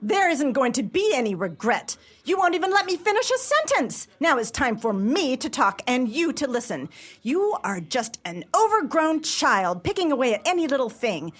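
A woman playing an indignant, sensitive, sharp-tongued young woman in a radio play, with theatrical, emphatic delivery. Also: sits high, big pitch swings, normal speed, exaggerated voice. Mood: furious.

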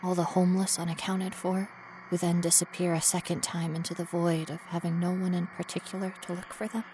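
A woman playing a frightened, stubborn young female ghost researcher in a first-person horror audio drama, with an intense, breathy delivery. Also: lowish voice, flat delivery, a little fast, noisy background, natural voice. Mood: uneasy. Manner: intense, breathy, dropping to whispers and rising to cries.

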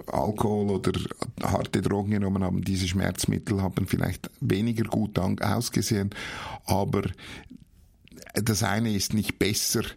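Male interview guest, Swiss German accent, heavy creak and husky tone, halting delivery. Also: low-pitched, darkish tone. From Switzerland. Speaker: interview guest, theologian and author. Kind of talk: personal storytelling in an interview.

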